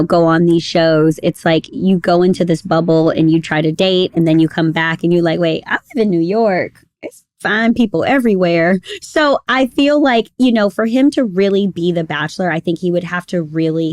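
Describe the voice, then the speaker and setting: A woman's voice: fairly low pitch, darkish tone, no room sound, studio quality. A woman co-hosting a casual podcast, playful and teasing, acting out little quotes. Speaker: podcast co-host.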